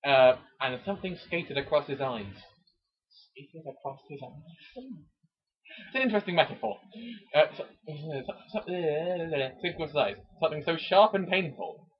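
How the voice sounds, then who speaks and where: A man with a husky voice doing an amateur mock-dramatic reading of a romance novel, with chatty asides. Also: on the high side, moderate pace. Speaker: amateur narrator. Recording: dull lo-fi home recording.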